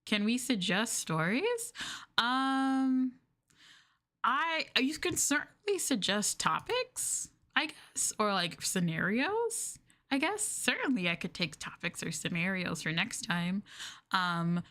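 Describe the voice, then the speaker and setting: A woman's voice: a little high, very animated, takes it slowly, bright, forceful tone. A woman chatting casually as a livestreamer, with a bubbly, effusive way of talking. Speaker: streamer.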